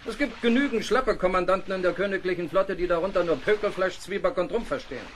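An actor playing a stern, fault-finding naval captain in a radio play, clipped, commanding and condescending. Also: male voice, sits high, quick pace, a little hiss or hum, natural voice. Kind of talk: German radio play acting.

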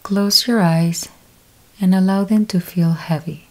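A woman leading a guided yoga nidra meditation, giving calm step-by-step instructions with long pauses. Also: mid-range voice, poor audio.

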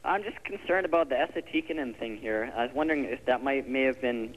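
A man's voice: mid-range voice, fast talker. A man phoning in to a live sports show as a caller, heard over an old broadcast. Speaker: phone-in caller. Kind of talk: prank call to a live show. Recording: echoing phone call, faint noise, old broadcast.

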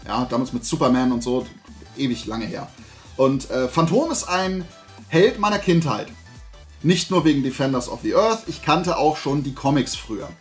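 A man in his forties from Germany chatting casually to camera as a vlog host, in a colloquial, self-deprecating way. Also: mid-range voice. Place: small indoor room lined with collectible shelves.

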